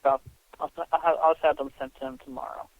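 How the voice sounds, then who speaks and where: A man's voice: fairly high pitch, sing-song, a little fast, vocal fry, no echo. A man on a phone call giving short, defensive replies, hesitantly repeating the starts of his phrases. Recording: tinny narrowband phone line.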